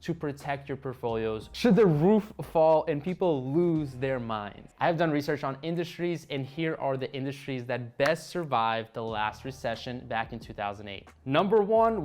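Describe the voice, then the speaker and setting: A man's voice: medium pitch, a little hiss or hum. A young man whose first language is Russian, hosting an investing video with upbeat talk and joking asides to viewers. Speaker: investing channel host.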